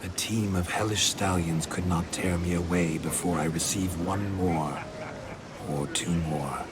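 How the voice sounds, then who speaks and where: A man's voice: sits low, fairly even intonation, unhurried, background noise. A man voicing the carefree, amorous ghost of a nobleman in a video game, with flowery romantic speech.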